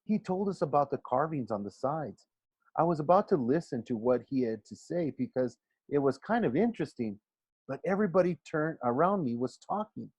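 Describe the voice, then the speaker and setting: A man's voice: on the high side, lively intonation, a little fast, a little mellow, husky, dry recording. A man reading a storybook aloud expressively like a teacher, now and then stumbling and correcting himself.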